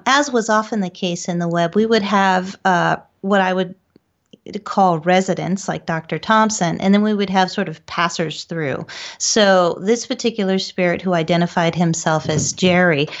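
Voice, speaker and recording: A woman speaking as an interview guest, recounting her experiences in a conversational storytelling style. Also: medium pitch. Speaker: guest, paranormal researcher and medium. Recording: dull, lo-fi call audio.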